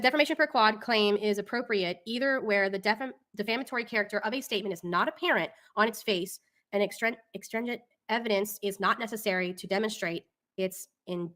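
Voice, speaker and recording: A woman's voice: medium pitch, pushed and bright. A woman hosting a casual live talk show, reading documents and commenting in an exasperated, opinionated way.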